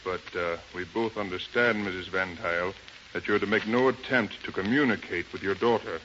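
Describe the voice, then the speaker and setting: A formal, businesslike male attorney speaks with measured courtesy and firmness in a bright, medium-pitched voice. The old radio drama recording is band-limited and faintly noisy. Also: lo-fi sound. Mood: courteous, official. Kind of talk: 1930s radio drama acting and narration.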